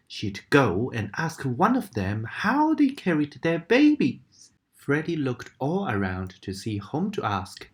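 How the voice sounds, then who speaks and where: A woman reading a bedtime story aloud in a very low voice that swings up and down with feeling. She takes her time and sometimes drops into a whisper for the sad parts. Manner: expressive, dramatic, dips into whispers.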